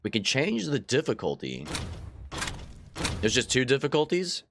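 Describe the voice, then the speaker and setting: A man's voice: mid-range voice, wildly swooping pitch, a little slow, noisy background. A man hosting a let's play, casually chatting to viewers with a slightly stuffy nose. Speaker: gaming channel host. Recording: faint game music behind.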